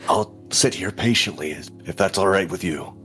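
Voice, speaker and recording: Deep-voiced man playing a tabletop game. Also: normal speed, a bit bright. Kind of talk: casual in-game chat. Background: faint background music.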